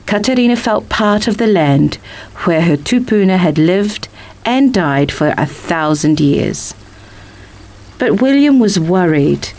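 Woman reading a storybook, lower-pitched voice. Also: expressive, takes it slowly, darkish tone. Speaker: reader of a picture book. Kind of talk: scripted storybook narration.

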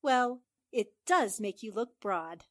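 A woman's voice: fairly high pitch, expressive, slow pace, no echo, clean studio sound. A woman acting a smart, plucky newspaper reporter, playful and teasing, in a 1940s-style radio drama. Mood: playful, flattering.

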